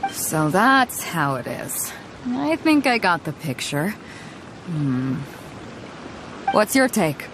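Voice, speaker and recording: A woman's voice: fairly low pitch, sing-song, slow pace, long pauses, background noise, heavily acted. A bold, breezy female captain. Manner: breezy, teasing, commanding. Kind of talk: game voice acting. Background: game music.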